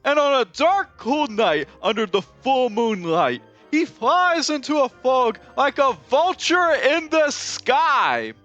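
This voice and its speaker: A man playing an excitable skeleton showman from a musical, high-voiced, grandiose and dramatic, moving between song and speech. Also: slow, hardly any pauses, muffled recording, faint music behind, heavily acted. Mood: ominous, showy. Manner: grandiose, sweeping, theatrical delivery. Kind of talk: theatrical musical performance, half sung and half spoken.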